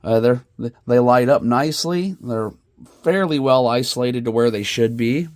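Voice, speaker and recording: A man's voice: mid-range voice, moderate pace, dry recording. Nasal man with a head cold. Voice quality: slightly nasal. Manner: relaxed, chatty, with fillers and restarts. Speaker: RC hobby channel host. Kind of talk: casual how-to build commentary. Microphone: phone camera.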